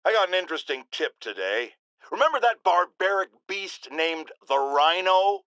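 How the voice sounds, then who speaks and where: A middle-aged game radio shock jock, bombastic and paranoid. His pitch sits high and swings wildly as he delivers indignant, pompous talk-radio rants. The tone is bright and forceful, with every point hammered home. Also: big pitch swings, normal speed, smooth, no room sound, heavily acted. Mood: incredulous. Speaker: radio talk show host. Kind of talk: theatrical talk-radio rant.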